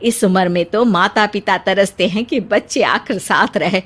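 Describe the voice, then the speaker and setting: A woman's voice: mid-range voice, measured pace, fairly bright tone, pitched below the performer's own voice. A woman voicing a nagging, teasing older housewife and grandmother, scolding and sarcastic, in a family comedy story.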